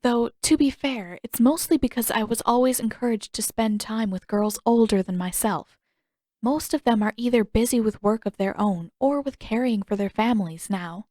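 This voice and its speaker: Gracious young fantasy princess, sweet, courteous and gently formal, voiced by a woman narrator. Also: a little high, moderate pace, no room sound, studio quality, lightly acted. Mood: friendly, candid.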